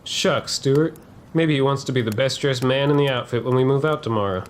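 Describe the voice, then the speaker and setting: A man playing a seasoned, unsympathetic trooper who follows orders, in a western dramatization. He speaks at a middling pitch with folksy, dismissive phrasing. Faint noise sits behind the voice. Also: fairly quick, natural voice. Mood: wry. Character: obedient, unsympathetic veteran cavalry private. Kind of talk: historical dramatization dialogue.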